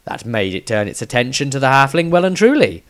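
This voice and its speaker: A man reading his own fantasy story as scripted narration, with dramatic, deliberate phrasing. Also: medium pitch, very animated, a bit bright.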